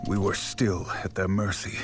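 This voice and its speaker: An actor playing a battle-hardened mercenary knight, narrating a video game gravely in clipped, deliberate lines. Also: male voice, low-pitched, level tone, natural voice. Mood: grim, resolute. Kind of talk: dramatic scripted game narration. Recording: loud music under the voice. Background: loud score.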